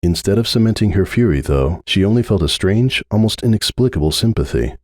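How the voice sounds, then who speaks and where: A man's voice: on the low side, expressive, a little fast, a little mellow, no room sound. A man reading a story aloud as a narrator in a dramatic audiobook style, close on the mic.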